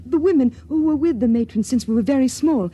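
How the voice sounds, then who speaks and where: An actress playing a frightened, secretive young woman aboard a ship who speaks softly and confidingly in a 1950s radio drama. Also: high-pitched, fast, breathy edge. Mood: hushed. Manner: soft, hushed, confiding. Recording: vintage radio recording with faint hiss.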